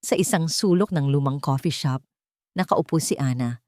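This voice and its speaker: A woman narrates a dramatic scripted story in a low-pitched voice. Her delivery is earnest and theatrical, and the recording is close and dry. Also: normal speed, a little mellow, no room sound.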